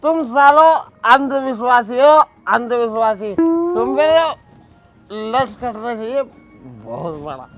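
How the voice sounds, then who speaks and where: Man playing slow, high-voiced scolding village crank. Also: very animated, over music, heavily acted. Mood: scornful, boastful. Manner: scolding, boastful. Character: swaggering, scornful village crank, father of everyone. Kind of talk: Hindi village comedy sketch acting.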